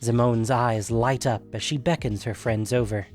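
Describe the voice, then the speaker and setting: A man's voice: medium pitch, steady tone, normal speed, a little mellow, soft background music. A man hosting and narrating a scripted fantasy audio story. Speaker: narrator and podcast host.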